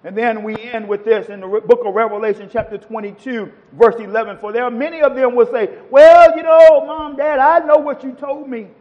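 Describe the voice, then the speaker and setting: A man's voice: extremely high pitch, fairly even intonation, a little fast, hardly any pauses, long reverb tail, muffled recording. A man preaching a sermon to a congregation, quoting scripture with rising and falling emphasis. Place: church.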